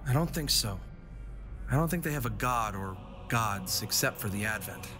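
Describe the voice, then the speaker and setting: Man as adventurous fox explorer for audio drama: medium-pitched, eager, earnest, music prominent underneath. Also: normal speed, close to an everyday voice. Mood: thoughtful, intrigued. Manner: excitable, earnest.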